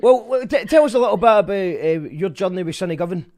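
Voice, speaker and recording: A man hosts a live fundraiser stream with a chatty manner. His voice sits a little high for a man. He reads viewer comments aloud between guests. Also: lively intonation, quick pace, darkish tone. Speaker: host. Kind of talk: livestream fundraiser hosting.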